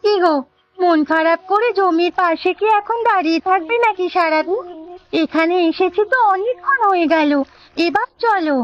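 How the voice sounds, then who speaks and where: A woman's voice: extremely high pitch, normal speed, lo-fi sound. A woman voicing a caring village wife in a Bangla cartoon, coaxing and matter-of-fact. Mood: consoling.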